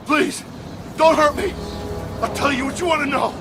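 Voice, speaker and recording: A man playing an excitable, cowardly henchman in a video game, frantic and blustering. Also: very high-pitched, normal speed, over music. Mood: terrified pleading.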